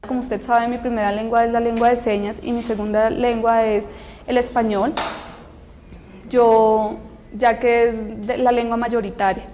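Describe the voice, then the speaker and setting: A woman interpreting aloud for an interviewee, halting with many fillers and restarts. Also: on the high side, little pitch movement, dark tone, long reverb tail, a little hiss or hum. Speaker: sign language interpreter voicing a deaf student. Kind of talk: interview answer, spoken interpretation.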